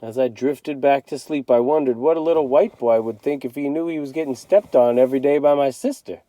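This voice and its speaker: A man reading a children's novel aloud as a teacher would, in an expressive storytelling style. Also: mid-range voice, a little fast, no room sound.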